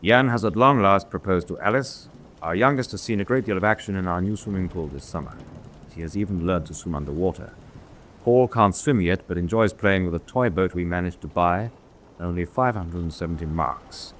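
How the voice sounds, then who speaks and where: An actor playing an authoritative senior German naval officer in a radio drama, with a formal, commanding, measured delivery. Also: male voice, low-pitched, fairly bright tone. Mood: businesslike.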